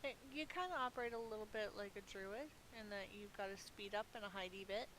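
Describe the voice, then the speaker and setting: A woman's voice: medium pitch, fairly quick, fairly bright tone, slight background noise, rough recording. A woman giving casual, playful commentary as she plays a video game, chatty and muttering asides to herself. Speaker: gaming video host, player.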